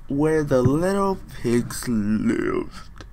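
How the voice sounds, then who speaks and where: A man's voice: medium pitch, big pitch swings, drawn-out words, warm tone, a little hiss or hum. A young man reading a fairy tale aloud in a small room, joking in slang and breaking off to riff, close to a webcam. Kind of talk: comedic storybook reading with asides.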